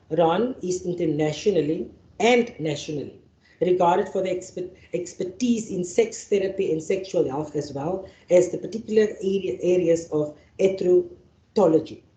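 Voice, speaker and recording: Woman, Afrikaans mother tongue, lowish voice, mellow tone, reads formal remarks with restarts, roomy hall. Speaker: panel facilitator. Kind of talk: formal speech and speaker introductions. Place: university lecture venue. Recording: echoey hall, dull sound.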